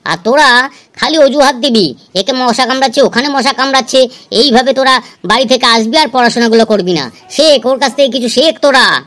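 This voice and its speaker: A woman's voice: high-pitched, a little fast, fairly bright tone. A woman playing a stern, exasperated schoolteacher who scolds and lectures pupils in an amateur cartoon dub. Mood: scolding.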